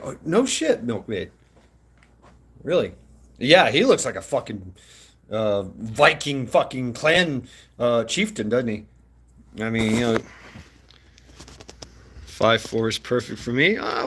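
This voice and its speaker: A man's voice: medium pitch, big pitch swings, measured pace, long pauses, a bit bright, a little hiss or hum. Casting: American male, middle-aged, loose livestream host, meandering, salty-tongued storyteller. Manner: sweary, laughing, meandering storytelling. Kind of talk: casual rambling livestream chat.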